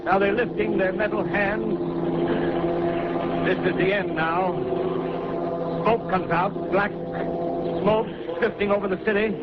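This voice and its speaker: An actor playing a grim radio announcer in a 1930s radio drama, delivering halting bulletins. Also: male voice, high voice, steady tone. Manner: grave, halting bulletin reports. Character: grim male radio announcer reporting the end. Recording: lo-fi old radio broadcast with loud noise.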